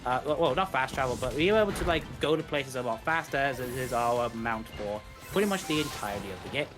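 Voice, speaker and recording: A man's voice: medium pitch, lively intonation, fast talker. A man giving live, explanatory speedrun commentary, rambling a little and rephrasing as he goes. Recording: game music behind the voice. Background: video game music.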